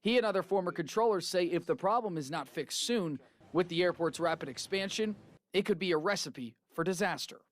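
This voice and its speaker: A man's voice: high-pitched, a little fast. An American man working as a TV news reporter, reading a scripted narration.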